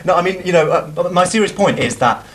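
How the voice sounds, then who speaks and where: A young man hosting a live TV music show, chatting loosely with many restarts and quick laughs. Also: sits high, animated, a crowd in the background. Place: indoor TV studio set styled as a cafe.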